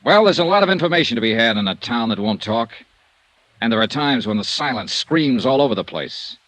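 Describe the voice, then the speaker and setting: An actor playing a tough, steady insurance investigator in a 1950s radio drama, with a clipped, direct, hard-boiled delivery. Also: male voice, medium pitch, a little fast, no room sound, close to an everyday voice, low-quality recording. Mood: brisk, intriguing. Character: tough, steady male insurance investigator narrating his own case. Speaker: lead actor of radio detective serial. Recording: old radio recording with faint hiss.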